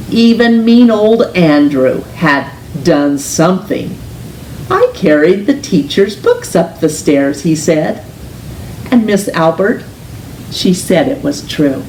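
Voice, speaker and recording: A woman reading a children's picture book aloud in an expressive, gentle, deliberate storytelling manner, with drawn-out emphasis on words. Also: low voice. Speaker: storytime reader, teacher. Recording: roomy, distant mic with faint hiss.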